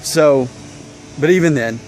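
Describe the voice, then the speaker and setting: A man in his thirties from Alabama, his mild Southern American accent coming through as he talks casually to the camera. His voice sits a little high for a man, and he thinks aloud in a relaxed, chatty way. A faint noise hums underneath the recording. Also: measured pace, poor audio. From Alabama, USA. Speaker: vlogger.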